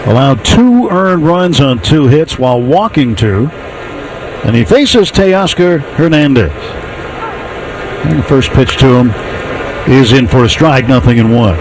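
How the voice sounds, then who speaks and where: A man with an American accent doing live radio play-by-play of a baseball game in measured, descriptive calls. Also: medium pitch, animated, background noise. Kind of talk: live radio sports commentary.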